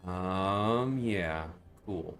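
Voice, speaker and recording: A man with a fairly low voice hosts a casual livestream. He explains things conversationally, often tagging points with "right?" to check that listeners follow. Faint music plays behind him. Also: extremely slow, creaky, a bit bright. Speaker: livestream host and game developer.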